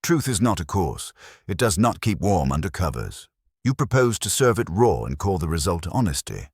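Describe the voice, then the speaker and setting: An imperious older detective as a male narrator plays him, with an extremely low voice and a dry, close sound. He hands down formal, measured judgments in a stern, unhurried way, as in an audiobook mystery. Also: sing-song, darkish tone, husky, dry recording, exaggerated voice. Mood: stern, unruffled. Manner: formal, measured, clipped pronouncements.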